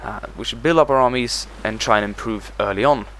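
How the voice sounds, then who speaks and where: A man's voice: mid-range voice, brisk, fairly bright tone, slight background noise, rough recording. A man hosting a let's play, talking through his strategy game moves as he thinks them over. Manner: thinks aloud while managing a strategy game. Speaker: gaming channel host.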